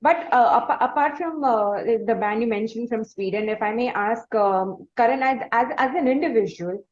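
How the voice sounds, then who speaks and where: A woman with an Indian accent asking conversational interview questions in halting, roundabout phrasing. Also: a little high, a little mellow, lo-fi sound.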